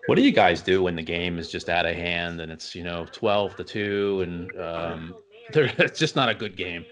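A man hosting a casual interview, putting his questions in a rambling, halting way. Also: deep voice, fairly bright tone. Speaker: interview host.

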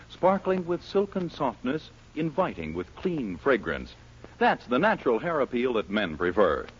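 A man's voice: mid-range voice, slight background noise. An American man working as a radio announcer, reading scripted introductions and ads in a 1940s style. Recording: muffled 1940s radio broadcast, music behind.

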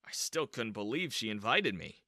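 Bright, animated teenage boy narrator played by a man. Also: lowish voice, moderate pace, no echo, clean studio sound, exaggerated voice. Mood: bashful, excited. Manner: eager, animated. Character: awkward smitten high school boy, magic-obsessed. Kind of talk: scripted first-person story narration.

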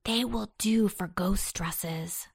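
A woman's voice: on the high side, huge pitch swings, slow, fairly bright tone, no room sound, studio quality, voice pushed up, full character voice. A woman voicing an earnest, bossy young boy, an older brother in a children's storybook, exclaiming and scolding. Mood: pondering.

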